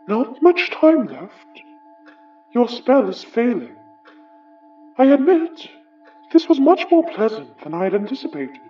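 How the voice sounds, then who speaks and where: An actor playing the summoned remnant of an English gentleman, wry, wistful and formal, with a British accent, in an audio horror drama. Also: medium pitch, big pitch swings, recorded from a distance, dull audio, soft background music. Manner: measured, formal, musing, ornate phrasing.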